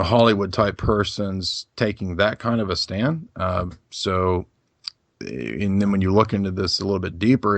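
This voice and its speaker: A man's voice: low voice, gravelly, dry recording. A man speaking as a guest in a casual podcast discussion, rambling with many fillers and restarts.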